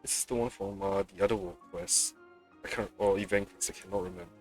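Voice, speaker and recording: A man's voice: sits low, fairly even intonation, fast, music in the background. A man streaming a video game with casual live commentary, thinking aloud to himself as he plays.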